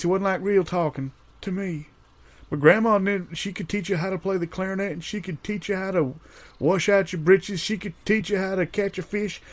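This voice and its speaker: A man's voice: high voice, lightly acted. A man playing a forgetful old grandpa, rambling through folksy reminiscences in improvised audio comedy. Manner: meandering, folksy storytelling.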